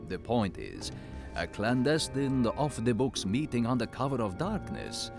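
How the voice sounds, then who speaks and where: A scheming alien senator from an animated series, pompous and theatrical. He draws his words out slowly in a creaky voice that swings widely in pitch, sounding thoroughly pleased with himself. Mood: sly. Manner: pompous, theatrical, deliberate delivery. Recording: music behind the voice.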